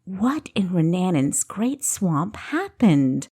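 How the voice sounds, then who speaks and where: A woman voicing a stern female doctor in a fantasy audiobook, brisk and blunt with a dry wit. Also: fairly low pitch, big pitch swings, dark tone, breathy edge, full character voice. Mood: concerned. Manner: economical, blunt.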